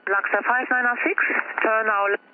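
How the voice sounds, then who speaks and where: A man's voice: high voice, steady tone, moderate pace. A man working as an air traffic controller, giving standard instructions over aviation radio. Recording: narrow tinny ATC radio, faint noise.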